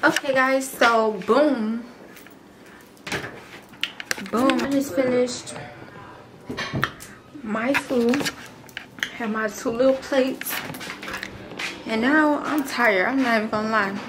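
A young woman vlogging casually at home in a relaxed, slangy way. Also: fairly high pitch, slow, pauses often. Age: young adult. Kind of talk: casual vlog chat. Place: apartment living room, indoors. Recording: echoey room with background noise.

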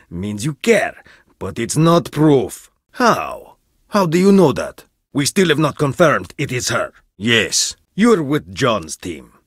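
A sharp, high male voice with big swings of pitch, playing a menacing tomb archaeologist in a game. He is curt, imperious and sardonic, speaking slowly and deliberately. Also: breathy edge, dry recording, exaggerated voice. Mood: stern. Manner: curt, imperious, dry sarcasm. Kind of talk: video game voice acting.

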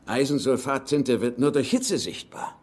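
A man's voice: medium pitch, animated, moderate pace, a little hiss or hum, natural voice. An actor as a bitter, grumbling older father. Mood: gruff, knowing. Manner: grumbling, dismissive. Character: bitter, skeptical older father, weary of treasure hunting. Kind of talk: German film dub acting. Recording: film soundtrack with music underneath.

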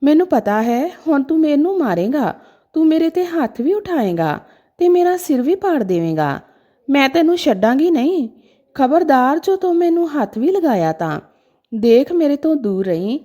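A woman's voice: sits high, very animated, moderate pace, mellow, voice pushed up. A woman voicing a mentally disturbed mother in a story, childlike, suspicious and volatile, speaking in simple pleading or accusing sentences. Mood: angry, fearful.

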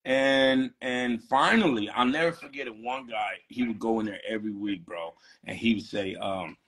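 A man who is a barber, chatting as a guest on a live video call and telling animated, slangy stories from his shop. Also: medium pitch, normal speed. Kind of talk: casual storytelling. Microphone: phone on a live video call.

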